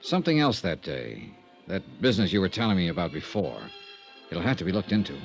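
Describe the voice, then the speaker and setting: A man playing a sharp, persistent private investigator in a 1950s radio drama, with clipped questions and dry narration. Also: low voice, sing-song, a little fast. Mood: pressing. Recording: dull vintage radio recording with music.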